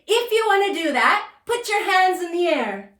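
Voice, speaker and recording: Eager little puppet-show girl, a woman acting, high and bright, childlike and exclaiming, in an echoing room. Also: big pitch swings, normal speed, full character voice. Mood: excited.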